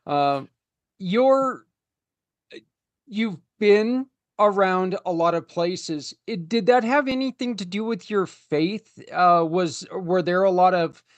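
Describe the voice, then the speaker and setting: A man's voice: sits high, slow pace, no echo. A man hosting a casual interview, chatty and joking, who grew up in New Jersey and Colorado. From New Jersey, raised in Colorado. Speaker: host.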